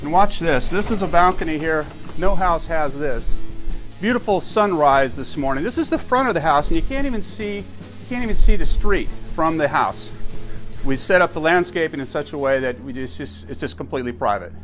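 A man's voice: fairly high pitch, lively intonation, normal speed, fairly bright tone, soft background music. An older man chatting enthusiastically as he walks through his house, pointing out its rooms. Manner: chatty, enthusiastic, frequent restarts. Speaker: homeowner giving a house tour. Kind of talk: casual walking tour narration. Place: inside a house, moving room to room. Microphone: camera mic, filmed walking behind him.

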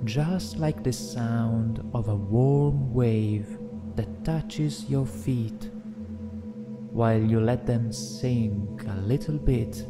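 A man narrating a guided meditation in a gentle, soothing, deliberate way, leaving long pauses between phrases. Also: medium pitch. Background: meditation music with singing bowls.

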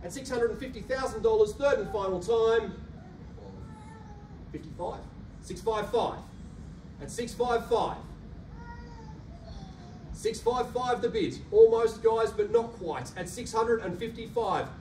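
A man in his thirties with an Australian accent, an auctioneer calling bids in a rhythmic, rapid-fire patter and coaxing buyers in a carpeted function room. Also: high-pitched, darkish tone. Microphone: handheld mic, filmed by a far camera. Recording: roomy echo from a distant camera, faint hum.

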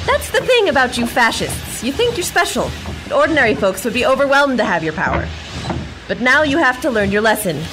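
An actress playing a plucky, bossy pulp adventure heroine with a sardonic, snappy manner in a radio drama. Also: female voice, high voice, very animated, a little fast, low-quality recording. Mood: defiant. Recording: loud music under the voice.

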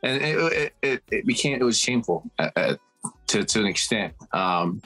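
Man, 30s, very low voice, reflective panel guest, video call. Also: animated, normal speed, pushed and bright, slightly rough, faint music behind. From Samoa. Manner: reflective, frequent restarts. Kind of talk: casual panel discussion.

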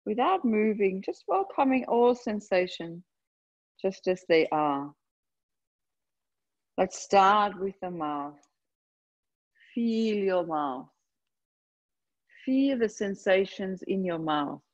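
A woman leading a guided meditation, speaking gently and unhurriedly in short phrases with long pauses between them. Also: mid-range voice, highs cut off. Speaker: yoga nidra meditation guide. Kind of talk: guided meditation, slow soothing instruction.

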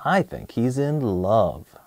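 A man in his thirties presenting and reading a children's storybook aloud in an expressive way, speaking to young listeners. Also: mid-range voice, slow, vocal fry, dry recording. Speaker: host of a children's read-aloud show.

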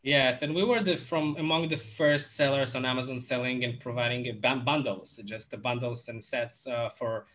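A man's voice: mid-range voice, steady tone, normal speed, hardly any pauses, a bit bright. A young man from Moldova, a guest entrepreneur, talking casually on a video call in a home office with many fillers and restarts.

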